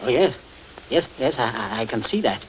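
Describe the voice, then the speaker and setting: A man's voice: medium pitch, big pitch swings, moderate pace, lightly acted. An actor playing a pompous, scheming middle-aged father in an old radio suspense play, with a hesitant, clipped, condescending manner. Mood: smoothly evasive. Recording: muffled, hissy old radio recording.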